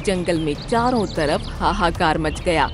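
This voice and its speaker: Lively woman telling a children's story. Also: on the low side, a little slow, soft background music. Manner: lively, expressive. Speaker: narrator.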